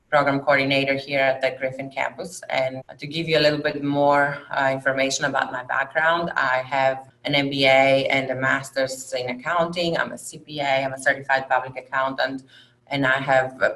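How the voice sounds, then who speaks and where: A woman with a Greek accent, a university lecturer, explaining things in a halting way during an informational presentation. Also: rumbling low, a bit bright, low-quality recording. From Greece. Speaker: university lecturer and program coordinator.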